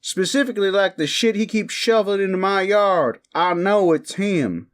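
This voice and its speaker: A man's voice: sits high, normal speed, lightly acted. A man playing a petty, vengeful, foul-mouthed guy in a comic audio roleplay, indignant and blunt.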